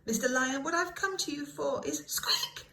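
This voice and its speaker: A woman's voice: high-pitched, big pitch swings, normal speed, bright, forceful tone, voice pushed up, full character voice. A woman voicing a tiny, meek but hopeful storybook mouse with a polite, hesitant, peeping manner. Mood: timid, nervous.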